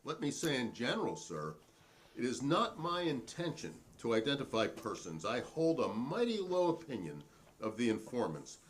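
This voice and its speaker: A man's voice: medium pitch, very animated, moderate pace, a little hiss or hum, natural voice. A man playing a defiant, proudly principled hearing witness in a dramatic transcript reading, formal and pointed in manner.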